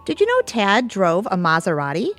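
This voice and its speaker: Casting: woman as gossipy, frank bartender pal, middle pitch, very varied intonation. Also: huge pitch swings, normal speed, faint music behind. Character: chatty, candid female bartender friend. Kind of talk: audio theatre comedy-drama acting.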